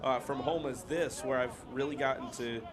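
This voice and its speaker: A young American man doing brisk, chatty play-by-play commentary on a student football match. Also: medium pitch, steady tone. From United States. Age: young adult. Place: outdoor football ground. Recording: live outdoor broadcast with loud crowd. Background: loud crowd noise.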